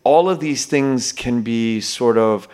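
A man's voice: mid-range voice, slow pace, a little mellow. A man hosting a casual interview, rambling through his thoughts with many fillers and restarts. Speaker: podcast host.

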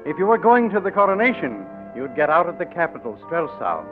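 An actor narrating a romantic adventure as a genial, measured storyteller in 1940s radio drama style. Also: male voice, fairly high pitch, very animated. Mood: inviting. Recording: muffled old radio broadcast, music behind.

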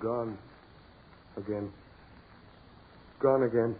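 A man's voice: on the low side, steady tone, drawn-out words. An actor playing a proud, practical businessman fighting dread in 1940s radio horror, with theatrical, repetitive insistence. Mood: hushed unease. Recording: scratchy, tinny 1940s radio recording with noise.

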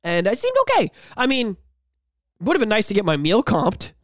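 Lively high-voiced man telling stories. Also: normal speed, no room sound, studio quality. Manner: animated storyteller who sings and hums bits of songs, swears freely. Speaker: podcast co-host and musician. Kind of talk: casual podcast chat.

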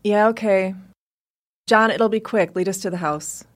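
A woman playing a calm, protective mother who reassures others in an audio drama. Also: mid-range voice, a little slow, natural voice. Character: steady protective mother, Tessa.

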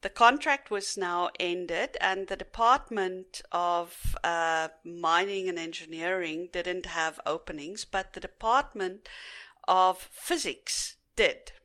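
A middle-aged woman with a South African accent talks at a leisurely pace, her first language Afrikaans. Her voice is bright and forward, and she often pauses on "uh" as she recalls things, in a relaxed interview setting. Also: on the low side. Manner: unhurried, with frequent fillers. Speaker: guest. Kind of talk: casual interview.

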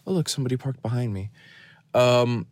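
A man giving a rambling, deadpan and self-mocking running commentary from a parked car, recorded on his phone. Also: mid-range voice, brisk, dry recording. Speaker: podcast host. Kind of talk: rambling off-the-cuff monologue. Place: parked car in a store parking lot.

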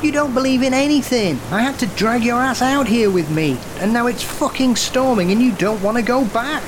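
An actor playing a guilt-ridden brother who sounds exasperated and remorseful. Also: male voice, very high voice, expressive, normal speed. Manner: exasperated, then hushed and remorseful. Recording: noisy storm backdrop.

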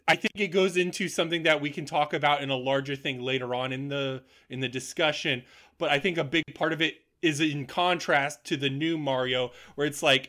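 A man's voice: on the high side, sharp tone. A man hosting a casual film discussion podcast in a chatty, informal way. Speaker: podcast host.

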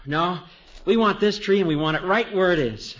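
An actor playing an eager, earnest young husband in a 1950s radio comedy. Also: male voice, high voice, moderate pace, a bit bright. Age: young adult. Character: eager, earnest young husband, firm about his home. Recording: dull 1950s radio recording.

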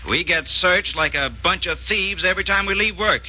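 A man's voice: sits high, moderate pace, sharp tone. An actor playing a hot-headed young Southern workman, defensive and combative, in 1940s radio drama style. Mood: disgruntled. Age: young adult. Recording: muffled, hissy old-time radio.

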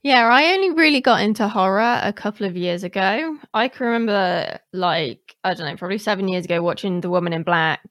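A woman's voice: medium pitch, fairly quick, hardly any pauses, a bit bright, dry recording. Woman chatting informally, close dry sound. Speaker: podcast interviewer. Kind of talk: casual conversational interview.

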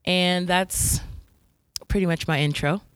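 A woman's voice: fairly low pitch, steady tone, slow pace, slight background noise. An American woman introducing herself casually as a podcast guest. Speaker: podcast guest, social worker.

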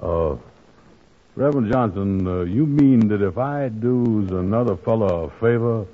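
A man's voice: fairly low pitch, measured pace, close to an everyday voice. An actor playing a grumpy, gullible, self-pitying man with folksy ungrammatical, hesitant talk in 1940s radio comedy style. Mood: curious, eager. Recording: tinny old radio broadcast.

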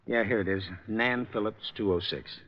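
An actor playing a concerned, polite company vice president, earnest and deferential, in a 1950s radio drama. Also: male voice, fairly low pitch, moderate pace, lightly acted. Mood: polite, explanatory. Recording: dull vintage radio recording with faint noise.

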